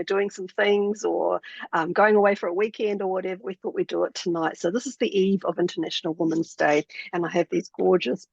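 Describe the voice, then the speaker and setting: A woman hosts an online panel over a video call, chatty and full of fillers. Her voice has a gravelly edge. The audio is dull, dry and lo-fi. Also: mid-range voice, dry recording. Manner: warm, chatty, with many fillers. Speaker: host. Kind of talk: hosting a live online panel.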